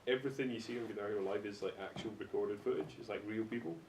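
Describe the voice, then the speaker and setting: A man's voice: very fast, a little mellow. A young man chatting casually on a podcast panel. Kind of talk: casual group chat. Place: echoey room. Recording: roomy echo, faint noise and rumble.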